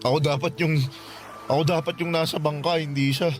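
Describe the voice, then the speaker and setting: A man's voice: fairly high pitch, fairly even intonation, fairly quick, natural voice. An actor playing a grieving young man in a radio drama, speaking earnestly and sorrowfully. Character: grieving young man, the narrator's past self. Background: music.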